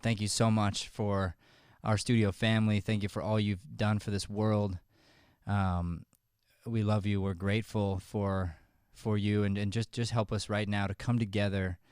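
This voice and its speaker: A man speaking earnestly and a little haltingly, as a show host giving a closing address and prayer. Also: on the low side, clear, resonant tone, no echo, clean studio sound. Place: studio, close podcast microphone. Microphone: podcast microphone.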